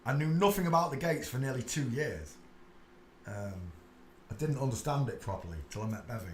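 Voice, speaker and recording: A man's voice: mid-range voice, expressive, fairly quick, a little hiss or hum. Man rambling in an echoey room. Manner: insistent, emphatic, trailing asides with many "uh"s. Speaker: channel host. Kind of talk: casual rambling monologue over pictures. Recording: echoey room with faint ambience.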